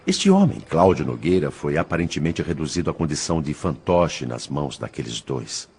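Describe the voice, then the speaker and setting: A man's voice: a bass voice, very animated, a little fast, darkish tone, voice pushed down, exaggerated voice. A man playing a troubled, earnest man who puts formal, respectful questions in an audiobook drama. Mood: perplexed, earnest.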